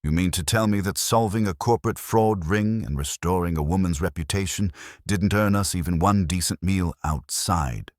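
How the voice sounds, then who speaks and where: Man as a deep-voiced wisecracking sidekick. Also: moderate pace, no room sound, studio quality, close to an everyday voice. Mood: exasperated. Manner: teasing, sardonic. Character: breezy, wisecracking male detective's assistant. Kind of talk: audiobook detective fiction.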